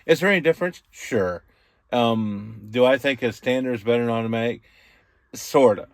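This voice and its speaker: A man's voice: mid-range voice, moderate pace, no echo, studio quality. A man with a folksy, colloquial way of talking, casually explaining things like an experienced radio hand. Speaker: experienced CB radio user explaining trucker slang. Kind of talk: casual chat. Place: inside a truck cab, passenger seat.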